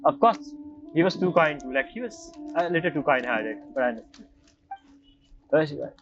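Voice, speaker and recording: High-pitched man reacting to a game. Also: expressive, brisk. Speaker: game streamer. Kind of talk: casual reaction commentary. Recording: dull lo-fi audio, game music behind. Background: video game music.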